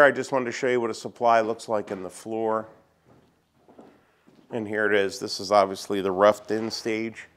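Middle-aged man explaining a building site. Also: lowish voice. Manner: plain, practical explaining with fillers. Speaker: home builder show host. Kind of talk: casual walkthrough explanation. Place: unfinished wooden house under construction, indoors.

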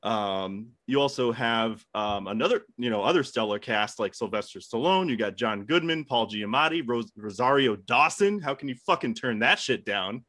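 A man's voice: mid-range voice, lively intonation, moderate pace, few pauses, fairly bright tone, no room sound. A young man arguing casually as a debate contestant, joking and swearing freely. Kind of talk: casual argument and banter.

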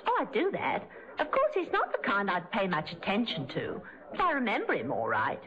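A woman's voice: mid-range voice, wildly swooping pitch, moderate pace, fairly bright tone. An actress playing a chatty, nosy working-class woman in a vintage radio drama, colloquial and gossipy. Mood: chatty, curious. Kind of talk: 1950s radio drama acting. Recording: muffled vintage radio recording, music behind.

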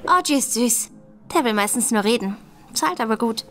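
A woman's voice: fairly high pitch, animated, normal speed, fairly bright tone, soft background music. An actress playing a naive, chatty young working-class woman in a radio drama.

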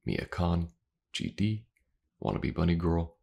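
A man with a deep voice and plenty of vocal fry chats to his listeners as the creator of the channel. His delivery is relaxed and informal, loosely phrased like an unscripted outro. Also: takes it slowly. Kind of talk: casual outro addressed to listeners.

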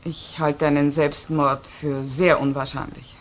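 An actress playing a cool, reserved widow in a German radio crime drama, giving guarded, clipped, matter-of-fact answers as a witness. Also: female voice, sits low, level tone, unhurried. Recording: old radio recording, faint noise.

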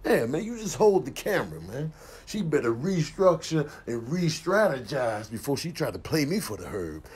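A man's voice: on the high side, big pitch swings, normal speed, husky, full character voice. A man voicing a stubborn, jealous boyfriend in a comedy voiceover, indignant and insistent, full of slang. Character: stubborn jealous boyfriend climbing after his girlfriend.

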